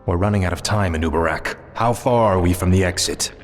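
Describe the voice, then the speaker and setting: A man's voice: low-pitched, brisk, natural voice. An actor playing a grim, resolute fallen king turned undead death knight in a video game cutscene. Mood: grim, pressed. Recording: game music behind voice.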